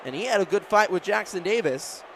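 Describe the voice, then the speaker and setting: A man's voice: high voice, expressive, brisk. An American man calling live basketball on the radio as play-by-play announcer, in clipped, descriptive phrases. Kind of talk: live radio sports commentary. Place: courtside in a large indoor arena. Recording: crowd and faint arena noise. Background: basketball crowd, bouncing ball.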